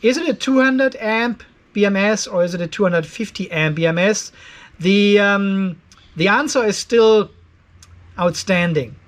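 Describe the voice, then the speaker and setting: A man's voice: sits high, a little slow. A man in his fifties giving a casual, conversational explainer, going step by step and openly critical. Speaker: host of an off-grid solar channel. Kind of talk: casual explainer vlog to camera. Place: cluttered garage workshop.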